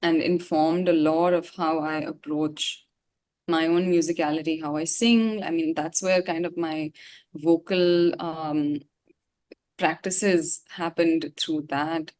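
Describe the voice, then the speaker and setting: A woman's voice: sits low, fairly even intonation, slow, a little mellow. A woman from Gangtok, India, a singer chatting casually as an interview guest, informal and reflective in a rambling way.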